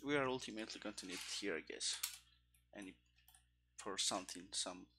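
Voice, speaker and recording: A man in his thirties thinking aloud as he codes on a stream, halting and muttering to himself into a headset microphone, with keyboard clicks behind him. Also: on the low side, background noise. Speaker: programmer streaming game development. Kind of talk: thinking aloud while live coding. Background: keyboard typing and clicking.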